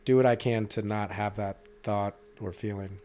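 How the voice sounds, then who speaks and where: A man's voice: on the low side, steady tone, moderate pace, warm tone, soft background music. A man of about thirty talking casually on a podcast, informal and agreeable, in short conversational replies.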